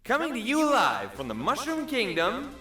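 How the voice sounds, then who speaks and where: A man's voice: extremely high pitch, wildly swooping pitch, normal speed, pushed and bright, roomy sound, pitched above the performer's own voice, exaggerated voice. A man voicing a cheerful, cocky video-game plumber in a showy, exaggerated cartoon comedy style. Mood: showy, upbeat.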